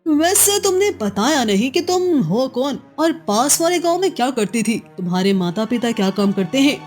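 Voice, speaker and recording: A woman's voice: sits high, very animated, moderate pace, darkish tone, soft background music. A woman playing a proud, jealous and scheming chieftain's wife in a cartoon story, haughty and scornful in manner. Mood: probing, polite. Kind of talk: Hindi moral-story cartoon dialogue.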